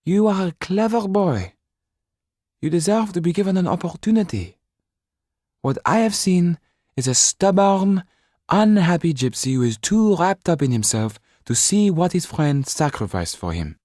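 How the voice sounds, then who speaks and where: A man plays a storybook gentlewoman, kind yet firm. The voice is lifted and breathy, earnest in its delivery, in a close, dry recording. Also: high voice, expressive, moderate pace, no room sound, voice pushed up. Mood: sharp, firm. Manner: earnest, sometimes sharp, sometimes softly breathy.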